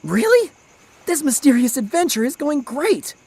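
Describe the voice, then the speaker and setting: A man's voice: very high voice, very animated, normal speed, a little mellow, pitched above the performer's own voice, exaggerated voice. A man voicing an earnest, eager boy detective in a comic adventure story. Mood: excited.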